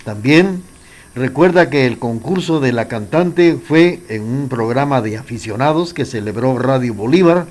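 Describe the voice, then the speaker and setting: A man's voice: medium pitch, animated, a little slow, darkish tone. Casting: male radio presenter, Guatemalan accent, mid-range voice, polite and chatty commentary for a music show. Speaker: radio program host.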